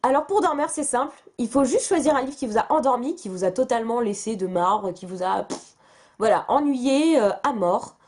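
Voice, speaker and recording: Chatty French book vlogger woman, fairly high voice. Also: expressive, moderate pace, darkish tone. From France. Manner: chatty, self-mocking, many fillers and restarts. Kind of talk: casual chat to camera.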